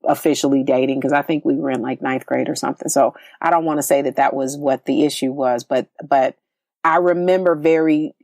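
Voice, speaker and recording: A middle-aged woman from California hosts a casual podcast chat. Her voice sits a little low, and she rambles through her thoughts with restarts and easy laughs. The sound is dry and close. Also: a little mellow, no echo. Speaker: podcast host, relationship coach. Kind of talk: casual conversation.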